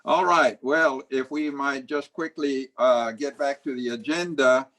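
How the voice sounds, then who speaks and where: Man chairing a video-call meeting, slow delivery, halting and filler-heavy. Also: medium pitch, fairly even intonation, fairly bright tone. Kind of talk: online club meeting.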